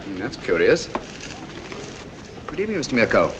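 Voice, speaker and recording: An actor playing a cool, courteous British gentleman detective, speaking with dry, precise irony in 1940s film style. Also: mid-range voice, very animated, moderate pace, natural voice. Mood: dryly ironic. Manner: dry, precise, politely ironic. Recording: hissy 1940s film soundtrack.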